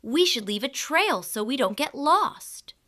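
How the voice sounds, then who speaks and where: A woman's voice: high-pitched, sing-song, unhurried, a bit bright, pitched above the performer's own voice, full character voice. A woman voicing a thoughtful little boy in a children's fairy tale, earnest and full of wonder. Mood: planning.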